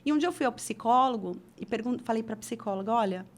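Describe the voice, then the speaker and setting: A woman's voice: on the high side, fast. A middle-aged woman from Brazil, an interview guest telling her career story in a chatty way with many restarts. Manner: chatty, frequent restarts and fillers.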